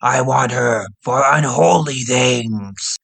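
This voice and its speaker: A man's voice: medium pitch, slow, vocal fry, crowd noise. A man voicing a crude, swaggering henchman in a parody anime dub. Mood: leering, bossy.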